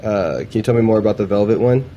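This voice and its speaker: A man's voice: on the low side, fast talker, dark tone, lo-fi sound, slight background noise. A man hosting a demo video in a casual, laid-back, informal way, like a chatty tutorial. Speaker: video host demonstrating AI voice agents.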